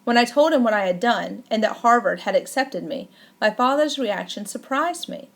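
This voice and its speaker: Woman calmly narrating a memoir. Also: fairly high pitch, moderate pace. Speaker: audiobook narrator. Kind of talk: first-person memoir audiobook reading.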